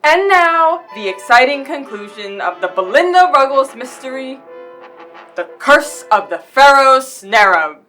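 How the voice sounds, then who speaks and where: A woman announcing an old-time radio mystery in a dramatic, deliberate scripted style. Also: sits high, lively intonation, bright, forceful tone, faint music behind, exaggerated voice, poor audio.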